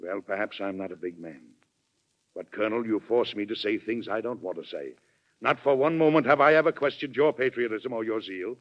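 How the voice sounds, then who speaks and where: An actor playing a firm, weary, principled American president in 1940s radio drama style, formal and deliberately persuasive. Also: male voice, lowish voice, lightly acted. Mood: grave, persuasive. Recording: muffled 1940s radio recording.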